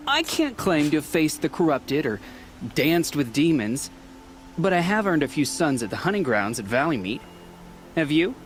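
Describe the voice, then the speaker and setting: Cocky, lively taunting hunter. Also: male voice, a little high, slight background noise. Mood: mocking, challenging. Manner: sarcastic, provoking. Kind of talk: video game dialogue acting.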